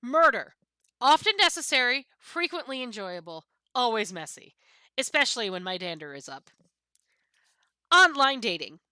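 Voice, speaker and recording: A woman plays a pampered, misanthropic cat reading its musings from a book. The delivery swoops with pompous disdain and theatrical emphasis. The tone is bright and sharp, in a dry recording. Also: a little high, very animated, normal speed, smooth, dry recording. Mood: sardonic. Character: haughty misanthropic pampered cat, sneering at common people.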